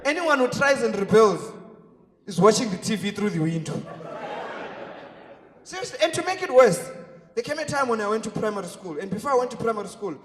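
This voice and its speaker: A young comedian from Zimbabwe tells stories on a theater stage, swinging his high voice up and down and shouting the punchlines. He sounds distant, with the long reverb of a big hall around him. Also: fairly quick, long pauses. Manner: animated storytelling, shouting punchlines. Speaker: stand-up comedian. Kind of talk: stand-up comedy set. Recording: echoey hall from far off. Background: audience laughter.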